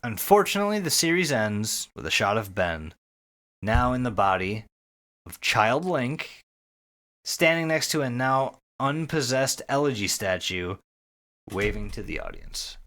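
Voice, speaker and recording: A man's voice: medium pitch, big pitch swings, unhurried, a bit bright. A man co-hosting a podcast with casual banter and recaps, joking and swearing freely. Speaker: podcast co-host.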